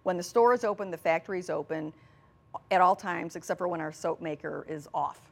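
A woman's voice: fairly low pitch, brisk. Middle-aged woman telling anecdotes, emphatic. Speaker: interview guest, small business representative. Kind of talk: conversational anecdotal talk.

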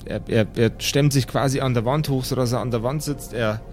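A man narrating an improvised tabletop horror adventure as game master, pausing as he builds each description. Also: medium pitch, fairly even intonation, a little mellow, a little hiss or hum. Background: background music.

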